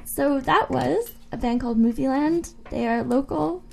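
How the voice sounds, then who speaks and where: A woman's voice: high-pitched, a little slow, vocal fry. A woman co-hosting a radio show, chatting casually and informally about music between songs.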